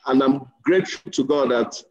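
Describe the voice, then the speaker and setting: A man's voice: mid-range voice, rough recording. A man speaking thoughtfully and earnestly as a panelist in a video-call discussion. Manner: thoughtful, earnest, slow with pauses. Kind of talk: reflective discussion.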